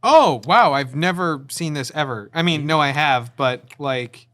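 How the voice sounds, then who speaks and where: A man's voice: on the high side, expressive, measured pace, a bit bright. A young man talking casually with friends as a podcast co-host. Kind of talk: casual group chat.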